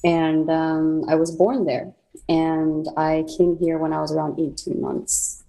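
A woman's voice: deep voice, level tone, measured pace, creaky, poor audio. A young woman from South Korea, telling her immigration story as an interview guest, reflective with frequent ums. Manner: reflective, many ums and soft laughs. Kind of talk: personal storytelling.

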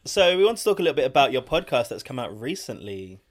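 Quick talking guy host, asks questions, mid pitch. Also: very animated. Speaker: podcast host. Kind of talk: casual interview chat.

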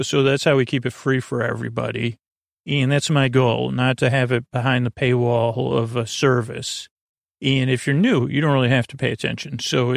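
A man hosting a bedtime podcast in a meandering, halting, stammering monologue full of whimsical, mock-earnest tangents. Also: medium pitch, gravelly, no echo, clean studio sound. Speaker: podcast host.

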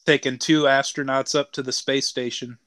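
A man's voice: medium pitch, little pitch movement, normal speed, fairly bright tone. A man co-hosting a podcast, talking casually with the others in the conversation. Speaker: podcast co-host. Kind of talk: casual chat.

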